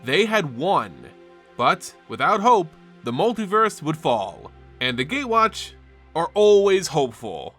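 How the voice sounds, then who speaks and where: A man narrates in a theatrical, scripted storytelling style, his pitch a little high and moving in lively swings. He stresses key phrases like the host of a lore show, with music playing softly underneath. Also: unhurried.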